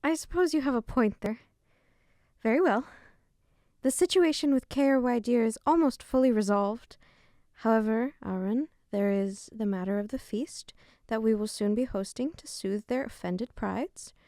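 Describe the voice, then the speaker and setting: A woman's voice: fairly high pitch, moderate pace, dark tone, no echo, clean studio sound, close to an everyday voice. A woman acting in an audio drama as an earnest, dutiful fairy queen who speaks in a formal, polite way. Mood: courtly, concerned.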